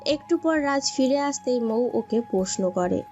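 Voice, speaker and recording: A woman's voice: high voice, expressive, measured pace, dark tone. A woman reading scripted narration for a romantic story as its storyteller. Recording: dull lo-fi sound, music bed.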